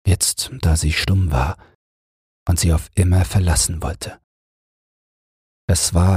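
A man reading a fairy tale as a bedtime audiobook in a measured, soothing storytelling manner. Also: low-pitched, darkish tone, slightly rough, no echo, clean studio sound. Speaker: audiobook narrator.

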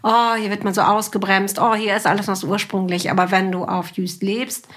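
A woman's voice: mid-range voice, level tone, brisk, a bit bright. A middle-aged woman hosting a podcast, interviewing her guest in a casual, conversational way.

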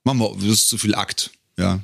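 Deep, creaky middle-aged man. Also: steady tone, a little fast, dry recording, studio quality. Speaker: podcast guest, singer and professional voice-over speaker. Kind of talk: casual conversational storytelling.

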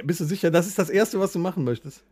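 A man's voice: high voice, quick pace, a little mellow, husky. A man co-hosting a podcast in casual banter, teasing his friends and prodding them with questions. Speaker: podcast co-host.

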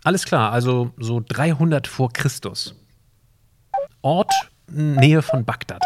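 A man's voice: medium pitch, slow pace, slight background noise, lightly acted. A man playing a curious, sceptical time traveller in an amateur audio drama, casual and questioning.

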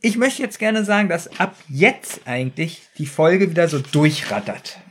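A man's voice: a little high, expressive, normal speed, slight background noise. A man co-hosting a podcast, casually and playfully giving opinions on an audio drama. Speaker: podcast co-host.

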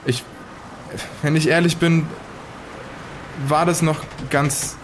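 A man playing a grim 1920s survivor of an occult ritual in an audio drama, telling it haltingly. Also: on the high side, level tone, slight background noise. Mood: uneasy, resigned. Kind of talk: in-character audio drama retelling.

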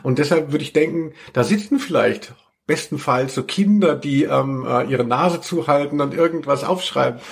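A man's voice: fairly high pitch, moderate pace, gravelly. A man, middle-aged, hosting a podcast in casual chat with an ironic, tongue-in-cheek manner. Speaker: podcast host.

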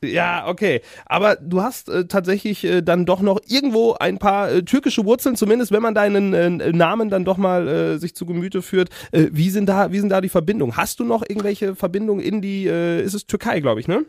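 A man's voice: high-pitched, brisk, gravelly, few pauses, no room sound, studio quality. A man hosting a podcast, putting casual questions to a guest in a hesitant, roundabout way. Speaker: podcast interviewer, host. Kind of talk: casual conversational interviewing.

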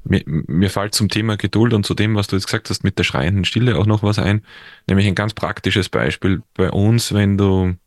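A man's voice: lowish voice, fairly quick, dry recording, clean studio sound. A man from near Vienna with an Austrian accent, talking in a casual conversation and often restarting his sentences. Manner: hesitant, many restarts and fillers. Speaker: podcast guest.

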